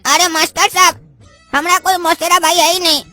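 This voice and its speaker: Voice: very high-pitched, fairly even intonation, brisk, sharp tone, soft background music. A voice actor playing a cheeky, lovestruck young male student who keeps talking his way out of trouble. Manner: cheeky, talks his way out of things.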